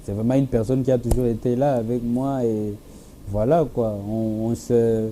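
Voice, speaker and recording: A man's voice: mid-range voice, steady tone, moderate pace, dark tone, slight background noise. A young man, a Swahili-speaking musician with a Congolese French accent, answering interview questions hesitantly with many fillers. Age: young adult. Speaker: musician guest. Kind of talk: television interview.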